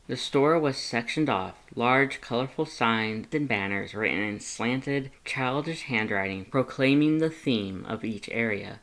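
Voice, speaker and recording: Expressive storybook narrator. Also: medium pitch. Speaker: fan fiction narrator. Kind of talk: scripted story narration with character voices.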